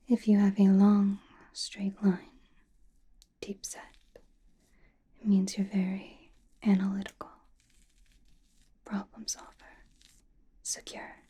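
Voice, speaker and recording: A woman playing a mysterious, attentive sorceress fortune-teller in a whispered ASMR roleplay, speaking softly and deliberately close to the microphone. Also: mid-range voice. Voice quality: breathy, mostly unvoiced whisper. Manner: slow, deliberate, soothing with soft pauses. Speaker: ASMR performer.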